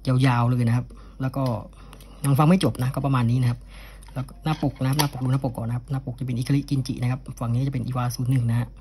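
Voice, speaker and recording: A man's voice: medium pitch, fast. A man speaking casually and unscripted as he presents an item from his collection, often ending phrases with polite particles. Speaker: cassette collector presenting an unboxing. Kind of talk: casual unscripted product showcase. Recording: steady background noise.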